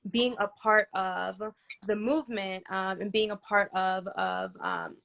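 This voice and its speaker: A woman's voice: mid-range voice, lively intonation, measured pace, fairly bright tone. A woman entrepreneur and nonprofit founder answering interview questions earnestly, with frequent "um" fillers and "right?" tags.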